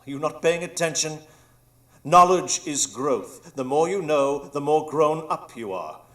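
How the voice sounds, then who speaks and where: A grandiose, scheming old pirate villain. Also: male voice, a little high, expressive, a little slow, fairly bright tone. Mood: gloating. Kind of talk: live radio drama acting.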